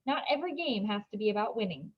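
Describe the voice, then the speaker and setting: A woman voicing a patient, firm female schoolteacher in a storybook, measured and encouraging. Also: medium pitch, expressive, breathy edge, lo-fi sound.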